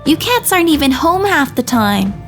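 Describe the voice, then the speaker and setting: A woman's voice: sits high, sing-song, normal speed, faint music behind. A woman voicing a wary, protective rescue dog in a visual novel, with a blunt, world-weary manner. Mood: indignant. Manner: blunt, defensive. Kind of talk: character acting in a visual novel.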